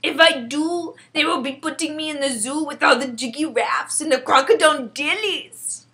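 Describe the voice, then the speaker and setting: A woman's voice: high voice, moderate pace, sharp tone, pitched above the performer's own voice. A woman voicing a big male giant from a storybook, stubborn and gloomy, speaking emphatically in muddled grammar. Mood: gloomy warning. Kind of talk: storybook character voice.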